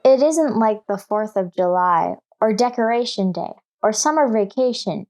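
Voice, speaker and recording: A woman's voice: mid-range voice, lively intonation, moderate pace, darkish tone, close to an everyday voice. An actress playing a stubborn, earnest fourth-grade schoolgirl with an American accent, reciting in an old-time radio sitcom. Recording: noisy old radio recording.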